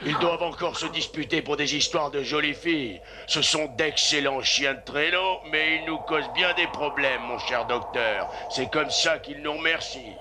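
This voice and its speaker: A man playing a gruff, hearty, bossy dog-sled driver with a gravelly voice in a French cartoon dub, noise behind. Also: a little high, normal speed, few pauses, natural voice. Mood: exasperated, commanding. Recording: clear background noise.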